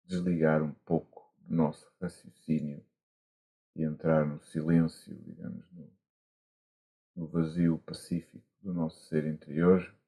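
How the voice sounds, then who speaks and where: A man with a European Portuguese accent, a monk guiding a meditation gently and haltingly, with long pauses, over a video call. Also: rumbling low, level tone, vocal fry, breathy edge. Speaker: Buddhist monk, meditation teacher. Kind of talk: guided meditation instruction. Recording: muffled, dull online call audio.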